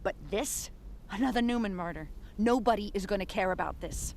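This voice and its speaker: A woman's voice: mid-range voice, fairly quick, a little hiss or hum, close to an everyday voice. An actress playing a jaded, ambitious woman detective who talks dryly and bluntly in an audio drama. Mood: irritated.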